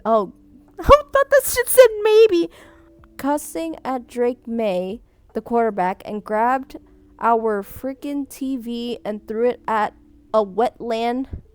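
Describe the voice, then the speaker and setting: An animated young woman reads viewer submissions in a casual chat, her voice sitting a little high. Vietnamese was her first language. She laughs often, breaks off mid-sentence and starts over. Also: clean tone. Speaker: streamer reading viewer submissions.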